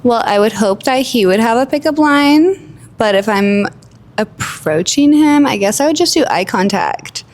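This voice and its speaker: A woman's voice: a little high, animated, moderate pace, a little mellow. Flirty woman in her twenties. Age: 20s. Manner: flirty and giggly. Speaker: blind date contestant.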